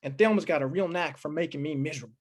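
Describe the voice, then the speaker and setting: A man's voice: a little high, very fast, darkish tone, close to an everyday voice. A man playing a fretful, henpecked small-town husband in an old-time western radio drama, hesitant, earnest and complaining. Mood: fretful, pleading.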